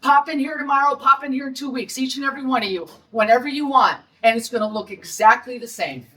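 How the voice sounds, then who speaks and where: Brassy Detroit woman, high and distant. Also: quick pace, sharp tone. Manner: brassy, blunt and indignant. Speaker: restaurant owner. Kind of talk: press conference statement. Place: restaurant.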